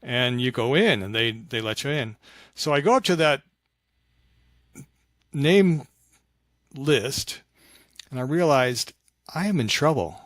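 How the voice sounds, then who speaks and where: A man's voice: mid-range voice, no room sound. Thoughtful man, close dry sound. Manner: halting and reflective, animated when acting out remembered dialogue. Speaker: podcast guest, author of fiction. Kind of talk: conversational interview storytelling.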